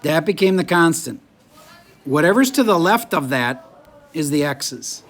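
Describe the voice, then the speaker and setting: A man's voice: fairly high pitch, lively intonation, a little slow, fairly bright tone. A male math teacher lecturing a classroom in a chatty, joking way while losing his voice. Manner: chatty, jokey, moves around the room.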